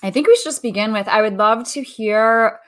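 A woman's voice: fairly high pitch, brisk, fairly bright tone. A woman hosting a radio talk show, upbeat and friendly, reading a guest's introduction and opening the interview.

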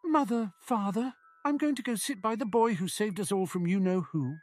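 A man's voice: high-pitched, moderate pace, a little mellow, dry recording, faint music behind, voice pushed up, natural voice. A man acts out a proud young wizard in his teens for a fantasy storybook. He is guarded and a little self-important in how he talks. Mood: formal, defiant.

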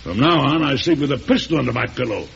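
An actor playing a proud, stubborn older American president in a folksy, emphatic old radio drama style. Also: male voice, medium pitch, animated, fast talker, vocal fry, soft background music. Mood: defiant. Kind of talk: 1940s radio drama acting, narrating and playing. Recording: hissy, dull old radio recording.

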